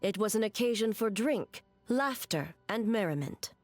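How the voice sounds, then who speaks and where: A woman voicing a stern, unyielding ruler in a video game, formal, measured and matter-of-fact. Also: mid-range voice, expressive, faint music behind, close to an everyday voice. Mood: firm. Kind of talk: animated video game dialogue.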